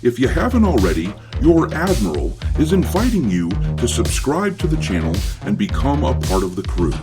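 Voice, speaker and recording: A man's voice: mid-range voice, huge pitch swings, moderate pace, music in the background. A man giving opinionated gaming commentary as a channel host, blunt and sardonic, swearing casually.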